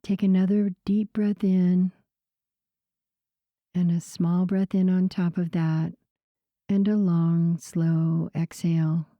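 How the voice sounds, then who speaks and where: A woman guiding a sleep meditation in a soothing, unhurried way, with a soft voice that turns breathy at the ends of phrases. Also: on the low side, level tone, no echo, muffled recording. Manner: soothing, unhurried, gentle pauses. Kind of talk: slow guided sleep meditation narration.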